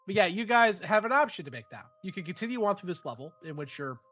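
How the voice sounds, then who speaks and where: A man's voice: high-pitched, fast talker. A man with a stuffy, nasal voice from a head cold, casually running a tabletop game as game master with lots of fillers. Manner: relaxed, rambling with fillers and swearing.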